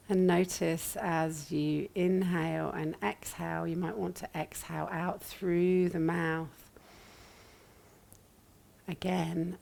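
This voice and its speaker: Slow, low-voiced woman guiding meditation. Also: vocal fry. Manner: gentle, soothing, long pauses between short phrases. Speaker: yoga nidra meditation teacher. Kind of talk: guided meditation.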